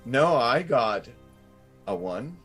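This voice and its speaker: A man's voice: mid-range voice, sing-song, takes it slowly, loud music behind the voice. A man playing a tabletop role-playing game, chatting casually and thinking aloud as he describes his moves. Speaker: player in a tabletop RPG. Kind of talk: casual improvised game chat.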